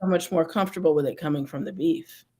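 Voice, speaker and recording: A host in a casual interview, speaking in an earnest, measured way. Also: medium pitch, a little mellow.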